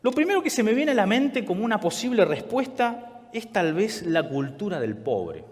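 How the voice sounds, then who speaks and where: A man's voice: high-pitched, sing-song, normal speed. A man who grew up in Chile and Argentina, preaching a sermon to a church congregation in a conversational way and often turning to the listeners with questions. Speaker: pastor. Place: large church hall. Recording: long hall reverb.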